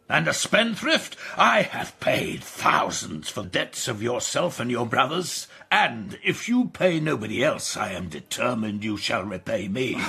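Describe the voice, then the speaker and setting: A man's voice: medium pitch, vocal fry, pushed and bright, husky, exaggerated voice. An actor playing a roguish older English aristocrat, a grasping father who speaks with jovial pomposity in a radio drama. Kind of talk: British radio drama acting.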